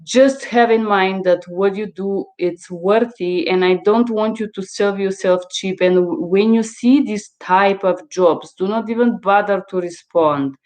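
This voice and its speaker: A woman's voice: medium pitch, a little slow, hardly any pauses, a little mellow. Emphatic woman. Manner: emphatic, blunt, repeats words for stress. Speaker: interpreter and mentor hosting a livestream. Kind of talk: informal live advice talk to viewers.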